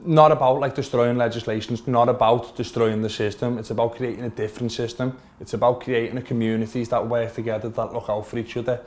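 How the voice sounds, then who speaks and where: A man's voice: mid-range voice, level tone, quick pace, hardly any pauses, a little mellow. A man in his twenties with a Liverpool accent, earnestly explaining his views at length in a documentary interview in his shop. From Liverpool, England. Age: 20s. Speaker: vape shop owner. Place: their small shop, indoors.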